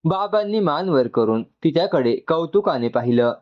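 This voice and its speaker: A man's voice: fairly high pitch, expressive, moderate pace, lo-fi sound. A man reading an emotional story as a scripted narration.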